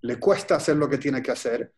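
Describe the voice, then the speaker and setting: A man from Chile giving a webinar presentation over a video call, speaking in a halting, deliberate way with frequent pauses and restarts. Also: mid-range voice. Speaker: guest presenter.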